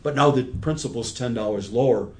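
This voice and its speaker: A man's voice: medium pitch, fairly quick. A man in his sixties giving patient, conversational explanations with everyday examples, as an expert guest in an interview. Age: 60s.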